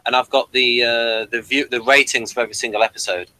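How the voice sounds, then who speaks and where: A man with a bright, forward voice and a middling pitch hosts a discussion. He talks in a casual, conversational way, as on a podcast. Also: fairly even intonation, fast talker, rough recording. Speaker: podcast host. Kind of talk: casual discussion of TV and personality types.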